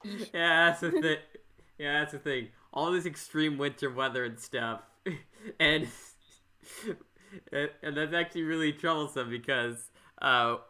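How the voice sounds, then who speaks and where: An American man from Minnesota hosting a casual podcast interview, rambling and halting as he repeats himself. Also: fairly high pitch, sharp tone. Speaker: podcast host.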